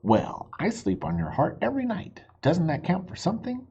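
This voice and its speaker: Small indignant male dog for a picture book, done by a reader: raised pitch, mellow muffled sound. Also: huge pitch swings, normal speed, breathy edge, full character voice. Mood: indignant, demanding. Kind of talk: picture book character read aloud.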